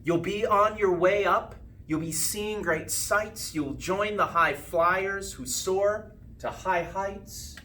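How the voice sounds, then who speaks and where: A man reading a rhyming children's storybook aloud with expressive, sing-song phrasing and drawn-out line endings. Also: high-pitched. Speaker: school principal reading a storybook. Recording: distant mic with faint background noise.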